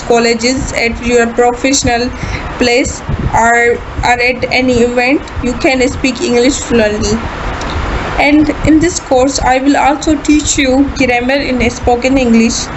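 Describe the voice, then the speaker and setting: High-pitched Pakistani woman teaching, noisy muffled recording. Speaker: English language instructor. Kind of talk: spoken English lesson demo for beginners. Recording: muffled audio with loud background noise.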